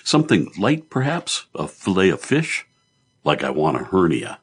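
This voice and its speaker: Man playing a husky, sardonic working man. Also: deep voice, wildly swooping pitch, normal speed, heavily acted. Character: weary, sardonic working man, dry and reluctant.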